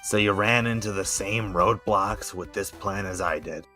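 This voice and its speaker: A man's voice: lowish voice, unhurried, bright, forceful tone, soft background music. A man voicing a practical schemer planning a rescue in an amateur cartoon comic dub. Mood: businesslike.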